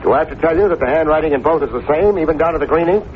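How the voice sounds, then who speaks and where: An actor playing a tough, wisecracking private detective with clipped, sardonic delivery in a vintage radio drama. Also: male voice, on the high side, very fast, lightly acted. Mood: sardonic, pointed. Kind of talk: 1950s radio detective acting and narration. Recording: muffled, hissy old radio recording.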